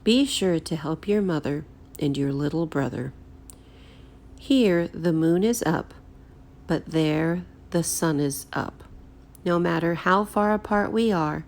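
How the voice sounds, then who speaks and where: Woman as a tender grandmother, low voice. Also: gravelly, a little mellow, full character voice. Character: loving Korean grandmother, tender and encouraging. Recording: roomy, echoey home recording.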